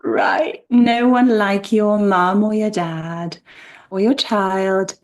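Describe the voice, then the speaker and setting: A woman whose first language is Polish, speaking as a therapist guest in a thoughtful podcast conversation and laughing softly now and then as she explains. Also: medium pitch, steady tone, takes it slowly.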